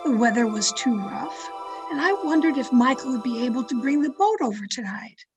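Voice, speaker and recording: Actress as high-voiced, secretive radio mystery murderess. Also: female voice, lively intonation, music in the background. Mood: tense, brooding. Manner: deliberate, dramatic, suspenseful delivery. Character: Carola Winter, tense, secretive woman narrating her own crime. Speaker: actor in an online radio play performance. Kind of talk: 1940s radio mystery acting, first-person narration. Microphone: video call. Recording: noisy home video-call recording.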